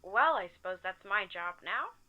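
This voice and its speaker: An actress playing a hesitant, grieving but stubbornly determined amateur recorder in an audio drama, speaking in a hushed, halting way. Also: female voice, medium pitch, animated, highs cut off.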